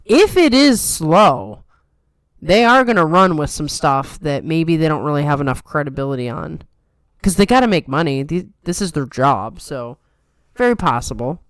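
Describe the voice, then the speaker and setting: Smooth chatty woman with a New York lilt. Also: low-pitched, lively intonation, normal speed, no room sound. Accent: softened New York. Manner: chatty, playful, trained radio delivery. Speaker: podcast co-host. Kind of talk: casual chat.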